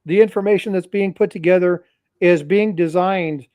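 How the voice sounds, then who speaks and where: A man's voice: high voice, normal speed, darkish tone, no room sound, studio quality. A man hosting a livestream, talking casually and cautioning his audience, often repeating phrases and addressing them directly. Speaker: host. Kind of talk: livestream commentary.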